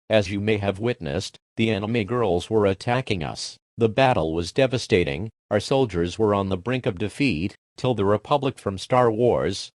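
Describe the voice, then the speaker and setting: A man with a fairly low voice delivers a scripted emergency alert. He speaks in the formal manner of an official announcer. The sound is dry, with very little room around the voice. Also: darkish tone, no echo. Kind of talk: scripted mock emergency broadcast narration.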